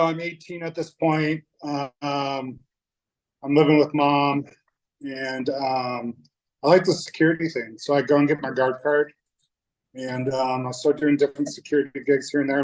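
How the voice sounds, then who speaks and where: A man's voice: on the high side, flat delivery, moderate pace, poor audio. A young man from North Dakota, sharing his personal story at a recovery meeting in a candid, loose way, with many fillers and restarts. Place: meeting room.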